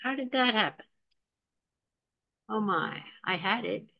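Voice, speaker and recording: A woman hosting an online talk, introducing the speaker in a chatty, relaxed way with light jokes. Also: medium pitch, takes it slowly, gravelly, dry recording, highs cut off. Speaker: host and moderator. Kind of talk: introducing a speaker over a video call.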